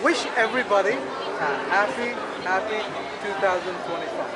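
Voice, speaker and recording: A man's voice: high-pitched, expressive, normal speed, very noisy. A man hosting a vlog, stopping passers-by for playful, teasing street interviews. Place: busy outdoor street festival at night. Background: loud crowd.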